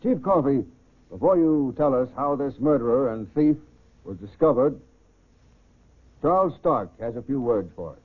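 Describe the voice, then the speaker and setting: A man hosting a 1940s radio crime series in formal, measured, scripted speech. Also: medium pitch, animated. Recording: tinny band-limited 1940s radio recording.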